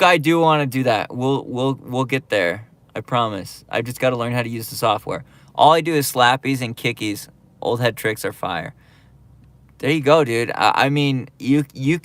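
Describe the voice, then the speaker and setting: A laid-back man hosts a skateboarding livestream, reading viewer comments aloud and riffing on them with plenty of ums and likes. His voice sits at an ordinary middle pitch. The sound is dry and close, with no room echo. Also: a bit bright. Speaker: YouTube skate channel host reading live chat. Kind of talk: casual livestream chat.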